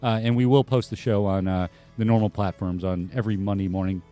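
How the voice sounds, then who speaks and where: Low-voiced man hosting with a music bed. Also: steady tone, a little fast, dark tone. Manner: rambling, many fillers and restarts. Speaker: host. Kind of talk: casual radio talk show chat. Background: faint band music.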